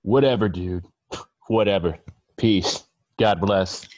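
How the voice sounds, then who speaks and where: A man's voice: deep voice, slow, darkish tone, no room sound. A man playing a cocky, laid-back hippie male model with a slangy, dismissive drawl in an amateur comedy table read on a video call. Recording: dull, dry video-call sound.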